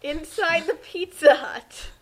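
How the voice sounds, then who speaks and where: A woman's voice: very high voice, slow, low-quality recording. A woman giving casual, joking commentary as a co-commentator.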